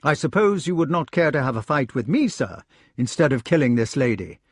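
A man's voice: medium pitch, sing-song, a little fast, breathy edge, no room sound, clean studio sound. A man voicing a stern, chivalrous young knight in an audiobook, firm and exasperated as he admonishes. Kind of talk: audiobook character voice.

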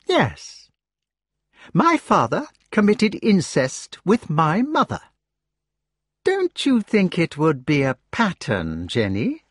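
A man voicing a scheming young knight who taunts slowly and coldly, in a voice pitched well above his own. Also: fairly bright tone, no room sound, studio quality, heavily acted. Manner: slow, eerie, taunting.

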